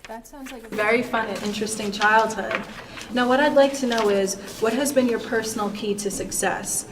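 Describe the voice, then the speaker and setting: A woman's voice: fairly high pitch, normal speed. Distant woman asking polite interview questions. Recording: distant mic with clear background noise.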